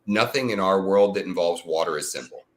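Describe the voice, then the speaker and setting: A man from the American Midwest hosting a casual chat, telling drawn-out stories in a laid-back way with some swearing. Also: low voice. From American Midwest, born in Tennessee. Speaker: host.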